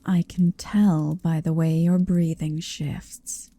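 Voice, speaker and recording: A very slow, very mellow dragoness acted by a woman murmurs in a breathy, often whispered ASMR roleplay voice with some creak. She is huge, possessive and domineering, teasing with deliberate menace. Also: sits low, little pitch movement, heavily acted. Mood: menacing, calm. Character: towering possessive female dragon in human form.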